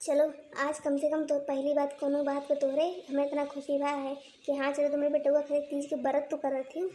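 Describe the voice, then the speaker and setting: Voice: sits high, monotone, fairly quick, warm tone, lightly acted. A performer with an Awadhi accent voicing a grumbling, sarcastic older village mother-in-law in a comedy cartoon. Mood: bossy. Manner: nagging, sarcastic. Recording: noisy lo-fi cartoon soundtrack.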